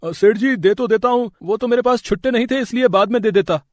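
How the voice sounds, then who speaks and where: A man voicing a sly, fawning shop servant in an animated moral story, hesitant and full of excuses. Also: sits high, brisk, no echo, studio quality, voice pushed up, lightly acted.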